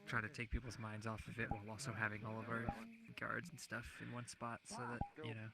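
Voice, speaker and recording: A low, very monotone male voice talks quickly and casually in character over online voice chat. There is heavy noise on the recording. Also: creaky. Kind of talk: casual in-character roleplay in VRChat.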